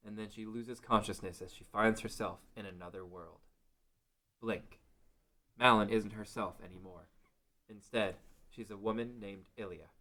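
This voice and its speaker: A man's voice: fairly low pitch, sharp tone. A man reading dramatic scripted narration for a fantasy story as its narrator.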